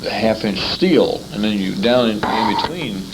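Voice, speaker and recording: Gravelly American man, low casual voice. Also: animated, a little slow. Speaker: interviewer, military veteran. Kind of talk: casual conversational storytelling. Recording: faintly noisy informal interview recording.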